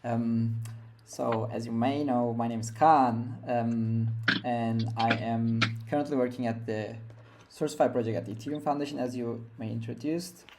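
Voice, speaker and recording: A man's voice: medium pitch, fairly even intonation, moderate pace, noisy background. A young man from Turkey, a guest on a podcast interview, speaking from home in a hesitant way with many fillers and restarts. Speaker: guest developer.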